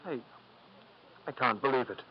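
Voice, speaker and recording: An actor playing a principled, uneasy school headmaster in a 1950s radio drama, speaking formally, earnestly and with hesitation. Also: male voice, mid-range voice, animated, normal speed, slightly rough. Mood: shaken. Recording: dull lo-fi old radio broadcast.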